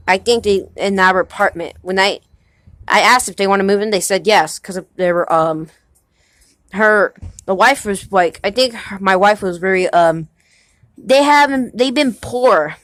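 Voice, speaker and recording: A young man playing a halting nineteen-year-old husband. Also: high-pitched, a bit bright, dry recording. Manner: hesitant, halting, many restarts. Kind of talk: amateur home movie acting.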